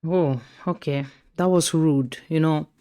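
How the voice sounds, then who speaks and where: A woman's voice: low-pitched, steady tone, measured pace, mellow, smooth. A woman hosting a podcast, reacting to clips in a casual, chatty way and often repeating herself. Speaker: podcast host.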